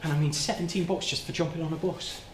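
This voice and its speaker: An actor playing an anguished, guilt-ridden working-class man in a halting, plain-spoken theatrical monologue. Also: fairly high pitch, steady tone, natural voice. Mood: uneasy recollection. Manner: halting, plain-spoken, repeats and stumbles over words. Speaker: stage actor. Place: indoor soundstage. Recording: roomy, noisy soundstage livestream.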